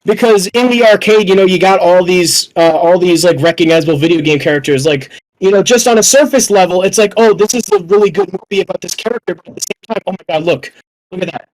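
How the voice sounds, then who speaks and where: A man's voice: high voice, quick pace, poor audio. A young man casually discussing films on a podcast, rambling and opinionated, with plenty of swearing. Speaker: podcast panelist.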